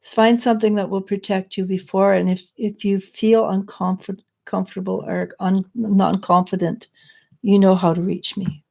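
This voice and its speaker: Middle-aged woman psychic host, gravelly, mellow, hoarse from losing her voice, rambles and restarts. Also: mid-range voice, flat delivery, normal speed. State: losing her voice, clearing throat. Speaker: psychic reader and show host. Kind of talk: live-stream spiritual advice chat.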